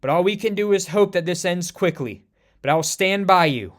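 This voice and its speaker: Storm, a man voicing a gentle caring heroine. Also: high-pitched, normal speed, lightly acted. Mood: soothing. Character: gentle caring female warrior and queen.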